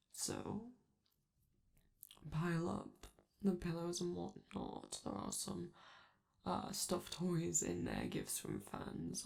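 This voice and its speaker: A woman voicing a flamboyant, doting robot celebrity in an intimate ASMR roleplay, speaking theatrically and affectionately with drawn-out phrases and a sometimes breathy, creaky voice. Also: on the low side, mellow, full character voice. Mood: cosy, fussing. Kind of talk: ASMR comfort roleplay, addressing the listener.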